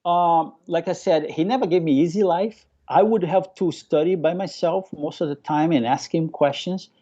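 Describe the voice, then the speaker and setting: A man's voice: on the high side, normal speed, mellow, highs cut off. A man with a Brazilian accent touched by Hawaiian speech, a native Portuguese speaker, chatting enthusiastically as an interview guest and often repeating phrases as he tells stories. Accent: Brazilian with a local Hawaiian touch. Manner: enthusiastic, repeats phrases, acts out remembered remarks. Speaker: guest, hobbyist aquarium keeper. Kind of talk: casual live interview storytelling.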